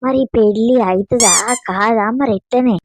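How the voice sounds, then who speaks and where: Woman playing an eager high-voiced Telangana village gossip. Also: moderate pace, muffled recording, heavy background noise, close to an everyday voice. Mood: eager, curious. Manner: insistent, earthy, grumbling. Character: eager, eavesdropping village wife and mother. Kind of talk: comic village gossip dialogue in a cartoon.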